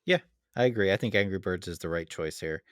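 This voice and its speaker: Easygoing man hosting, slightly low, dry sound. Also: fast talker, smooth, no echo. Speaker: podcast host. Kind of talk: casual chat, moderating a debate.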